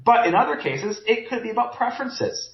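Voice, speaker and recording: A man's voice: extremely high pitch, lively intonation, a little fast. A man co-hosting a podcast, discussing a book in casual chat and thinking aloud with many restarts. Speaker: podcast co-host. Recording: roomy, dull lo-fi sound.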